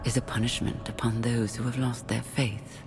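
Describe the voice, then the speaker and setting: Stern inquisitor in echoing hall. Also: female voice, rumbling low, little pitch movement, moderate pace, noisy background. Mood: pious, stern. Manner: pious, stern, formal. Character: devout, severe female inquisitor leader of the church. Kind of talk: dramatic video game cutscene acting. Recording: long hall reverb, music behind.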